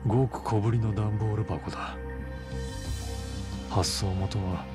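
An actor playing a proud, wounded Chinese mafia boss in a game cutscene, a middle-aged man speaking in a formal, solemn, measured way. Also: fairly low pitch, steady tone, loud music behind the voice, natural voice. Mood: bitter, resolute. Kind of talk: Japanese video game cutscene acting.

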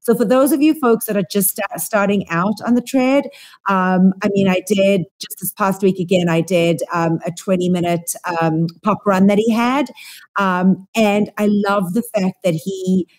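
A woman's voice: medium pitch, steady tone, moderate pace, a little mellow. A woman in her forties with a South African accent, co-hosting a casual chat over a video call, enthusiastic and full of ums. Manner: chatty, lots of ums, enthusiastic. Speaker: co-host. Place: small room at home. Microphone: webcam on a video call.

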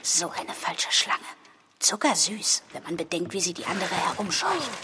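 A woman's voice: on the low side, sing-song, normal speed, background noise. A woman playing a spirited, indignant young girl in an audio drama. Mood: disapproving.

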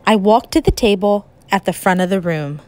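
A woman teacher reading a children's storybook aloud in an expressive, emphatic way with dramatic pauses. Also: mid-range voice.

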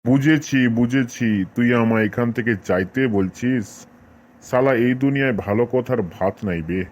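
A man playing a nosy, irritable old grandfather in a comic cartoon, speaking gruff colloquial with a scolding manner. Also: mid-range voice, moderate pace, natural voice. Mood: exasperated.

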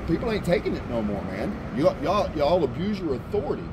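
A man's voice: fairly high pitch, sing-song, brisk. Brief: heated, profane middle-aged man, handheld camera on a sidewalk, street noise around him. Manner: loud, blunt, profane. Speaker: camera-carrying activist. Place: outdoors on a town sidewalk by a street. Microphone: handheld camera rig on a gimbal.

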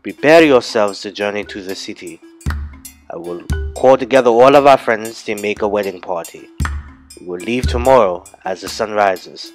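A calm, proud father with a low, steady voice, firm but fatherly, as a storyteller plays him. He gives plain instructions without fuss. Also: moderate pace, soft background music, natural voice.

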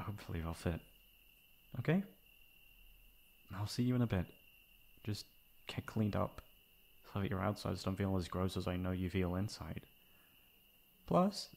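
A man playing a caring, protective young best friend in an intimate ASMR roleplay, with a soft, breathy voice. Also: deep voice, animated, a little slow, long pauses, exaggerated voice. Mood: tender, comforting. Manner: intimate, comforting, casual. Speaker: voice actor.

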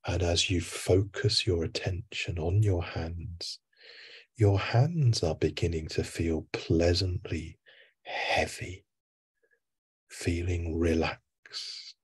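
A man giving guided sleep hypnosis as a hypnotherapist, soothing and hushed, with long pauses between phrases. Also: deep voice, slightly rough.

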